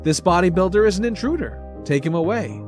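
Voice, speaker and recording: A man's voice: sits high, lively intonation, a little fast, over music, pitched above the performer's own voice. A man voicing a flustered, indignant homeowner in an audio short story. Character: flustered, indignant male homeowner narrating his absurd ordeal.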